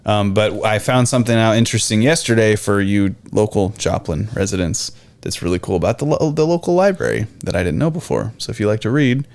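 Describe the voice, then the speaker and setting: A man's voice: lowish voice, animated, brisk, a little mellow. A man with an American accent in casual, informal podcast banter as a co-host. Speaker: podcast co-host, IT and cybersecurity worker.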